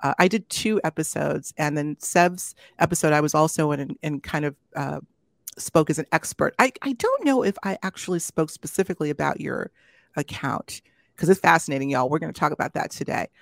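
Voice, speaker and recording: A woman's voice: sits low, lively intonation, a little fast, vocal fry, no room sound, clean studio sound. A woman hosting an interview show, chatting casually and inviting her guest to tell her story. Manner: warm, chatty, addresses audience as y'all. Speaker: podcast host.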